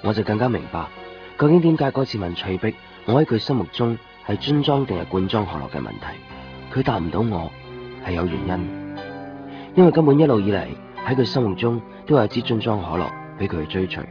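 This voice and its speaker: An actor playing a brooding, gentle young man who narrates his lost love introspectively in a Cantonese radio drama. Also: lowish voice, big pitch swings, fairly quick. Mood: wistful. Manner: wistful, introspective. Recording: dull 1990s radio recording, music behind. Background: music with guitar.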